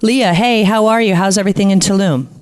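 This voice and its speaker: Woman podcast host, slightly hoarse, bubbly, rambling questions. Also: mid-range voice, brisk, a little mellow. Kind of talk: casual interview chat.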